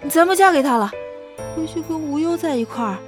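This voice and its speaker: A woman's voice: high-pitched, lively intonation, brisk, over music. A woman playing an impulsive, lovestruck young princess in a Chinese costume audio drama. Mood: pleading, tender.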